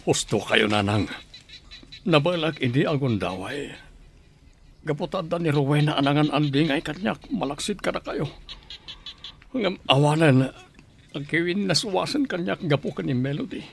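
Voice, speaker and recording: Heartbroken, higher-voiced Ilocano man. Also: lively intonation, moderate pace, vocal fry, long pauses, slight background noise. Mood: heartbroken, bitter. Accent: Ilocano Filipino. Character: heartbroken, bitter grown son. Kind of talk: Filipino radio drama acting.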